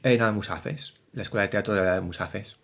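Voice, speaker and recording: A man, co-hosting a casual culture podcast as a theatre director, animated and playful, exclaiming often. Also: lowish voice, fairly quick, gravelly. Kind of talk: casual chat.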